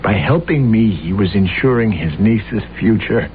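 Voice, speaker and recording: A brash, self-satisfied husband, as an actor plays him in a vintage radio drama, his pitch leaping up and down as he talks. The sound is thin and phone-like, with a faint hiss underneath. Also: expressive, moderate pace, natural voice. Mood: bitter. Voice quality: brash and blustering. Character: boastful, self-deluded young husband and lawyer. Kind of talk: 1970s radio drama acting. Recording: tinny old radio broadcast with faint hiss.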